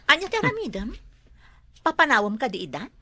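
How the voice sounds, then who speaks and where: A woman's voice: high-pitched, big pitch swings, a little fast, no room sound, full character voice. An actress playing a greedy, scheming woman in a radio drama, with an Ilocano accent and a demanding, indignant manner. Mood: impatient. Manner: sharp, demanding.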